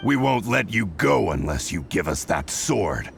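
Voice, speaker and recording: Gravelly, menacing villain soldier. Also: male voice, low-pitched, very animated, unhurried, soft background music, full character voice. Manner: threatening, theatrical, sneering. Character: menacing, arrogant male enemy soldier. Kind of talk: video game villain acting.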